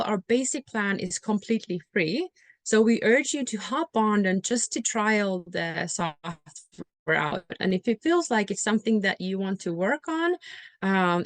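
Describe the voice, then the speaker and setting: A woman's voice: medium pitch, dry recording. A woman from Finland, presenting in a webinar in a friendly, reassuring way with frequent fillers. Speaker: software company presenter.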